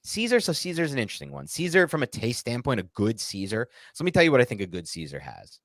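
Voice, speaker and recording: A man's voice: medium pitch, sing-song, quick pace, fairly bright tone, no room sound, clean studio sound. An American man co-hosting a sports talk show, chatting casually in a rambling, opinionated and emphatic way. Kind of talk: casual live Q&A chat about American football.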